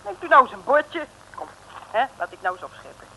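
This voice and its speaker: A chirpy, fussing sidekick from a 1950s radio comedy serial. Her voice is bright and forward, and she coaxes and questions naively. The recording is old and thin. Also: on the high side, big pitch swings, fairly quick, a little hiss or hum, full character voice, poor audio. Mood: coaxing. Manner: coaxing, giggly, naive questioning. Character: chirpy, fussing, loyal female detective's assistant. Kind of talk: 1950s Dutch comic radio serial acting.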